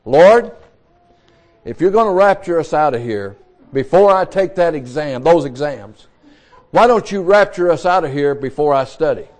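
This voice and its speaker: A man's voice: fairly high pitch, lively intonation, moderate pace, darkish tone. A man in his sixties, a pastor, preaching a sermon in a folksy, repetitive way, restarting his words. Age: 60s.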